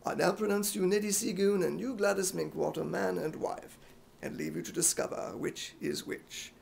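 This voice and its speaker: A man playing a staid, formal 1950s radio announcer with a British accent, speaking deadpan. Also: sits high, normal speed, creaky, voice pushed down. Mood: solemn. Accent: imitated British.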